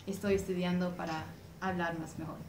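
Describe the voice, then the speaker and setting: A woman's voice: fairly low pitch, level tone, fairly quick, crowd noise. A young woman, a singer answering interview questions and hesitating as she searches for words. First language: English. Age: young adult. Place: dim indoor room. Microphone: far camera. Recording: echoey room, far-off camera mic, clear background noise.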